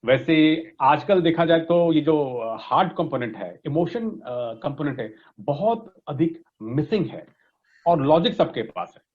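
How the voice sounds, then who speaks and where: Indian male coach, interview guest. Didactic, slightly high-pitched, switches between Hindi and English. Lo-fi, dull sound. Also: expressive, measured pace. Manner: didactic, often checking with "right?" and "okay?". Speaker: voice modulation coach being interviewed. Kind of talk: explanatory interview talk mixing Hindi and English.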